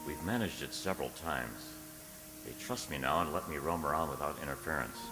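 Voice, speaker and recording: A man playing an earnest, anxious, lovestruck gentleman in a radio drama, with a stammering, dramatic delivery. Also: a bass voice, expressive, brisk, echoey room, long reverb tail, music in the background. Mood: anguished. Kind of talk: radio drama acting, journal narration and dialogue.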